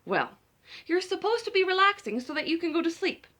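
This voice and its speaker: Storybook chicken dad, read by a woman: patient, weary, gently chiding, very varied pitch. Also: sing-song, fairly quick, exaggerated voice.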